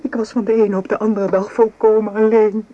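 An actress playing a lovesick, guilt-ridden young maidservant in a radio play, with halting, breathy, pleading delivery. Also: female voice, medium pitch, flat delivery, no room sound, muffled recording, lightly acted. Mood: anguished, desperate. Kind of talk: melodramatic Dutch radio play acting. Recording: noisy old radio drama recording.

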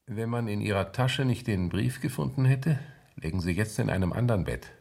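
Radio play role: blunt, advising physician, low gravelly voice, matter-of-fact admonishing tone. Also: male voice, brisk, darkish tone. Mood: frank, advising. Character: frank, advising male doctor addressing his patient. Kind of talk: 1970s German radio drama acting.

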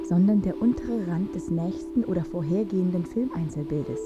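An actress playing a matter-of-fact presenter in an experimental radio drama, explaining footage in a declarative, instructive way. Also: female voice, on the low side, normal speed, close to an everyday voice. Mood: matter-of-fact, insistent. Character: woman presenter matter-of-factly explaining archive moon footage. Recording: muffled sound, prominent music underneath.

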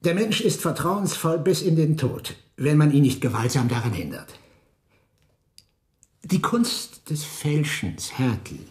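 A man's voice: a little high, darkish tone. An actor playing a cynical male master forger, pedantic and smug, savoring words in a radio drama, with a roomy sound. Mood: cynical lecturing. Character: cynical male master forger, powerful camp prisoner boss. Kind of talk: theatrical radio drama acting. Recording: roomy, reverberant studio sound.

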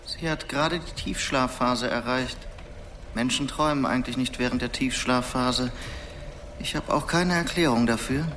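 An actor playing a calm male sleep specialist who speaks in a measured, guiding way in a radio drama. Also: medium pitch, noisy background, close to an everyday voice. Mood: explanatory.

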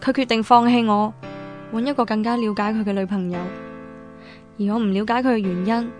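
An actress plays a young woman, freshly dumped and defiant, in a Cantonese radio drama. Her voice is high and emphatic, full of emotion, with music clearly underneath. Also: fairly even intonation, a little fast, natural voice. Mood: wistful, hurt.

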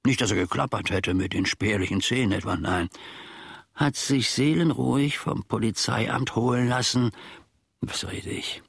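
An actor playing a self-important, grumbling old manservant and former soldier in a German radio play, musing theatrically in a husky, gravelly voice. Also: male voice, mid-range voice, moderate pace, dry recording, studio quality. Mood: brooding. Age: older.

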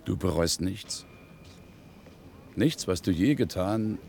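An actor playing a resigned, probing friend with a questioning manner in a 1980s radio drama. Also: male voice, deep voice, animated, takes it slowly, creaky, darkish tone, slight background noise, full character voice.

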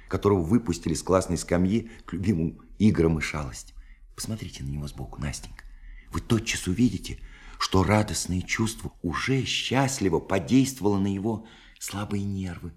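Romantic lonely dreamer, low register, impassioned monologue, ornate literary wording, dramatic pauses, radio drama. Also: male voice, a little mellow, husky. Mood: fervent, tender. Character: lonely romantic young dreamer pouring out his heart.

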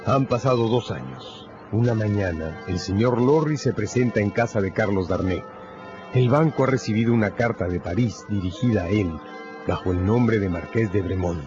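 A man delivering dramatic scripted narration for a radio novel, solemn and emphatic, with deliberate phrasing. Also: fairly low pitch. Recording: dull lo-fi radio production, loud music.

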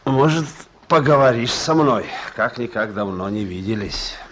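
A man's voice: mid-range voice, animated, measured pace, slight background noise, close to an everyday voice. An actor playing a hard-bitten, taunting criminal man in a Soviet radio drama, sardonic and menacing, on an old recording. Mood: needling. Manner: sardonic, needling, slangy.

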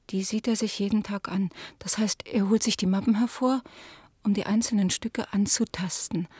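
An actress playing an ashamed, pleading middle-aged daughter in a radio drama, confessing in a hushed voice. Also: female voice, medium pitch, husky, no echo, studio quality, natural voice. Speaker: the collector's daughter.

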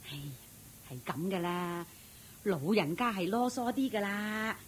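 An actress playing a nosy, evasive village gossip in a Hong Kong Cantonese radio drama, full of theatrical exclamations. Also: female voice, mid-range voice, animated, measured pace. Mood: gossipy.

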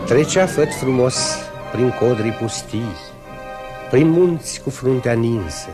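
A man narrating a radio fairy tale in a measured, lyrical way. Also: medium pitch, animated, over music. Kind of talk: scripted storybook narration.

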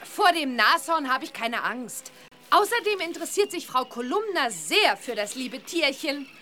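Very high, bright witch girl. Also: big pitch swings, moderate pace, exaggerated voice. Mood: confident. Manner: confident, cheeky. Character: cheeky, fearless young witch girl. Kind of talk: German children's audio play acting.

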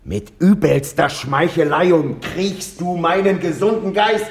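An actor playing a needy, petulant young man in a radio drama, husky, moving between sulky muttering and bombastic ranting. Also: on the high side, unhurried. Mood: furious ranting. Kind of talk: theatrical radio drama acting.

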